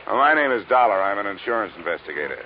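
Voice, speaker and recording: An actor playing a cool, hard-boiled insurance investigator, narrating and talking in a crisp, wry, businesslike way in an old radio drama. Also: male voice, on the low side, big pitch swings, rapid-fire, slight background noise. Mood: businesslike, probing. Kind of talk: 1950s radio detective narration and acting. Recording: narrow vintage radio recording.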